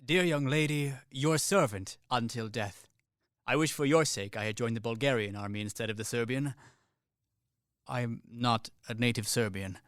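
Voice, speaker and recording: A man plays a weary professional soldier in a radio drama. He talks dryly and ironically but stays polite. His voice sits at an ordinary middle pitch for a man. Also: moderate pace, fairly bright tone, clear, resonant tone, dry recording, clean studio sound, lightly acted. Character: wry weary male Swiss mercenary soldier. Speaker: Captain Bluntschli. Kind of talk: college radio play acting.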